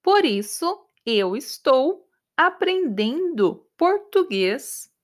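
A woman voicing an Irish woman who teaches English abroad, answering slowly and repeating herself carefully for learners. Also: high-pitched, very animated, exaggerated voice. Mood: patient. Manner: slow, repetitive, carefully clear answers.

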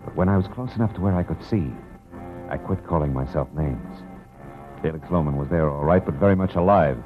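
An actor playing a tough, wisecracking private detective in a clipped, sardonic 1940s radio style. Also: male voice, a bass voice, brisk. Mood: tense narration. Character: wry, tough male private detective narrating his case. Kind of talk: 1940s radio detective acting and hard-boiled narration. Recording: dull, muffled old radio recording with music.

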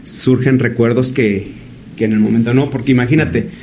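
A man, a painter as guest, telling stories in a thoughtful, halting way. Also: medium pitch, steady tone, darkish tone, slight background noise. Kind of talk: casual interview storytelling.

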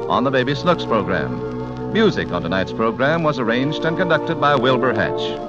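A man's voice: mid-range voice, sing-song, moderate pace. Man, radio announcer, formal, polished, very varied intonation, 1940s style, prominent music, dull recording. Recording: muffled vintage 1940s radio with loud music.

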